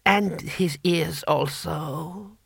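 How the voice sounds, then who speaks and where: A man's voice: fairly high pitch, creaky, slightly rough, no echo, studio quality, voice pushed up, heavily acted. A man voicing an ancient, gleefully cruel emperor in a thin, whispery old voice for an audiobook. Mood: gleeful cruelty. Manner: slow, halting, drawn-out. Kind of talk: audiobook character voice.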